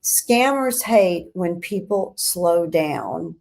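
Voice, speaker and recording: A woman hosting a scripted explainer, speaking in deliberate, emphatic phrases with pauses. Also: fairly low pitch, animated, gravelly, a little mellow. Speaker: host of a crime statistics show.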